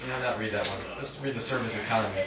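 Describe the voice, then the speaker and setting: A man's voice: on the low side, quick pace, crowd noise. A man reading poetry at a live reading, with a wry, sardonic manner and casual asides. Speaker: featured poet at a reading. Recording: roomy, distant mic, faint noise.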